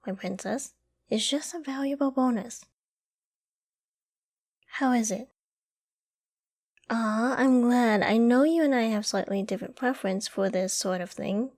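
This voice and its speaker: A woman playing a doting, affectionate girlfriend in an intimate ASMR roleplay, tender and cooing with gentle teasing. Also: a little high. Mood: tender, reassuring. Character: doting, affectionate young girlfriend caring for her sick partner.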